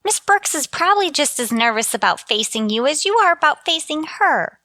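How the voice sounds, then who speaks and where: Woman playing an earnest, high-voiced teenage daughter. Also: big pitch swings, fairly quick, sharp tone, dry recording, clean studio sound, full character voice. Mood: protesting, earnest. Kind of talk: old-time radio comedy acting.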